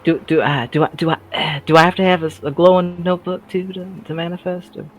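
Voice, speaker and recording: A man's voice: fairly high pitch, level tone, fast talker, pitched above the performer's own voice, lightly acted. A man playing an awkward, earnest young sidekick in a tabletop audio drama, hesitant and rambling as he talks. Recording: music behind the voice.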